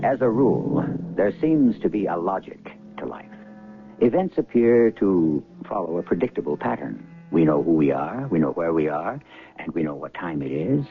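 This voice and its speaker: Over music, in a narrow old broadcast sound, a man narrates a radio drama as its host. His voice is very low and moves dramatically in pitch, deliberate and ominous. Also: sing-song.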